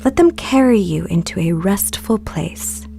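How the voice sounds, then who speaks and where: Creaky, serene meditation guide. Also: female voice, medium pitch, faint music behind. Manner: calm, soothing, deliberate. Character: serene film-star guest meditation guide, a woman, soothing and earnest. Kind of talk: guided meditation in an audio play.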